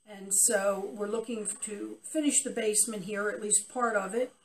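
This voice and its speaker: A woman's voice: medium pitch, fairly even intonation, moderate pace, far from the mic, slight background noise, low-quality recording. An older woman giving an unscripted customer testimonial in an interview, recorded in a basement a few feet from the camera. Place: cluttered unfinished basement.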